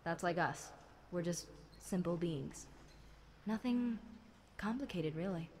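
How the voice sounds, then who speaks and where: A woman's voice: on the low side, lively intonation, darkish tone. A woman playing a chatty, centuries-old fallen angel demon girl, talking wryly and conversationally to one listener in an audio roleplay. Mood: matter-of-fact explaining. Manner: conversational, wry, unhurried, dramatic musing. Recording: clear background noise.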